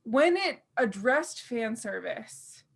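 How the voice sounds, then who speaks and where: A woman's voice: on the high side, big pitch swings, takes it slowly, creaky. A woman co-hosting a podcast, speaking in casual roundtable conversation with an earnest, thoughtful and emphatic manner. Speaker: podcast co-host.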